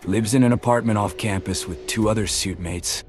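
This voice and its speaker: Brief: narrator doing a jovial, menacing male heavy, low voice, says "mate". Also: normal speed, faint music behind, natural voice. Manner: cheery tone over quiet threats, says "mate". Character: menacing jovial male enforcer, thickset hired guardian.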